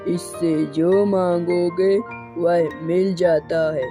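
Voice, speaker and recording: A man voicing a kindly, solemn old bird sage in a cartoon. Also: fairly high pitch. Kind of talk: cartoon character dialogue.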